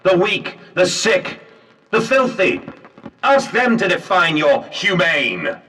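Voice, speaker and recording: An actor with a British accent playing a fanatical, righteous Victorian industrialist in a horror game, impassioned, precise and oratorical. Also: male voice, sits high, big pitch swings, slow, pushed and bright, heavily acted. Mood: righteous indignation. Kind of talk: theatrical period drama dialogue in a horror game. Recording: distant phonograph-style conversation recording.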